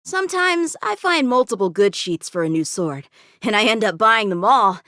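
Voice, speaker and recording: A woman's voice: mid-range voice, lively intonation, normal speed, bright, forceful tone, dry recording, studio quality. A woman voicing an eager, confident teenage boy swordsman in a video game, with an earnest, cocky, youthful manner. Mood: enthusiastic. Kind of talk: video game character voice lines.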